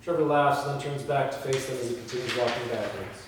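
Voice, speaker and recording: A man's voice: mid-range voice, a little fast. Echoey, distant man reading a script. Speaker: filmmaker reading stage directions at a table read. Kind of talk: script read-aloud mixed with casual discussion. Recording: echoey room, distant mic, faint noise.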